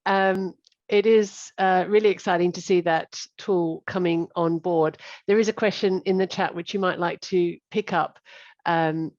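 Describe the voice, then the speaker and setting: A woman in her forties moderating an online panel, brisk and friendly, on a video call. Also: fairly low pitch, fairly even intonation, a little mellow, no echo. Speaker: session moderator. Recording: dry, muffled video call.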